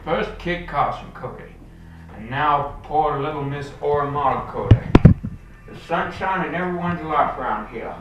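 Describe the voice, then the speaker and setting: A man's voice: a little high, unhurried, lightly acted. A man playing a melodramatic, folksy old-west ranch manager worn down by family troubles in a live haunted tour. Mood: mournful. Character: grieving, exasperated old-west ranch manager muttering over family woes. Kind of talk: theatrical haunted-tour monologue. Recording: echoey room from far off, noisy.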